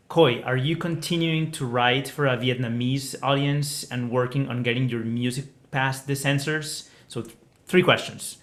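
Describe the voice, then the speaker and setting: A man's voice: medium pitch, fairly bright tone. Courteous man moderating from an echoey room. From Colombia. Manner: courteous, many fillers. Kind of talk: moderating an online forum. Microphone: video call. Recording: echoey room on a video call.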